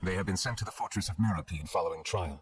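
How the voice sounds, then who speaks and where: An actor playing a dignified, reserved judge in a video game, with formal, composed and courteous speech. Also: male voice, sits low, racing, background noise, natural voice.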